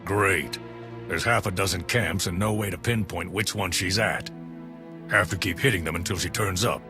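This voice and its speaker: An actor playing a rough, sardonic former mining foreman turned militia fighter in a video game, speaking in a laconic, teasing way. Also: low voice, moderate pace, over music, close to an everyday voice. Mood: dry, teasing. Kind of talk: video game cutscene acting.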